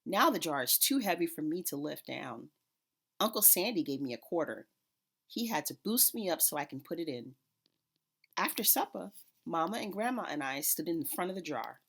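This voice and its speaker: A woman reading a children's picture book aloud in an expressive storytelling manner. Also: sits low, moderate pace, gravelly, breathy edge. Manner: expressive, acts out characters' dialogue.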